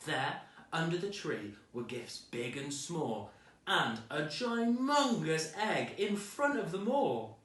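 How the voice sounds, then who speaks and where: A man's voice: a little high, big pitch swings, takes it slowly, fairly bright tone. A man reading a children's storybook aloud with dramatic, rhythmic delivery, recorded at a distance in an echoey room.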